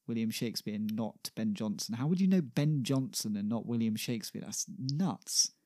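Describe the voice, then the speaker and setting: A man's voice: medium pitch, expressive, moderate pace, warm tone. A man voicing a vain, impatient playwright, indignant and bossy in manner.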